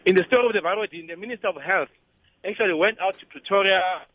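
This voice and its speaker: A man with a South African accent calling a radio show, giving his opinion in a halting way and repeating words as he goes. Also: high voice, no echo. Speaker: phone-in caller. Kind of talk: conversational opinion. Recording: dull band-limited call-in line.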